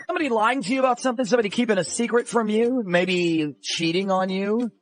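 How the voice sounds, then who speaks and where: A man's voice: sits high, fast, background noise. A man hosting a radio show, bantering playfully with a caller.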